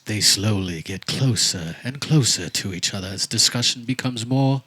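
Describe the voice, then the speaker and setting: A man playing the formal narrator of a mock-romantic radio play, reading florid scripted stage directions deliberately. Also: on the low side, bright, forceful tone, slightly rough, low-quality recording. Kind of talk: scripted radio theater narration before a live audience. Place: backyard, before a live audience.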